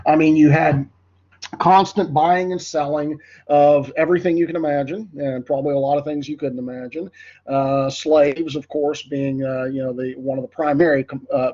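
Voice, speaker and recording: A man giving an unscripted history lecture, halting often with fillers and self-corrections. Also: mid-range voice, darkish tone, lo-fi sound.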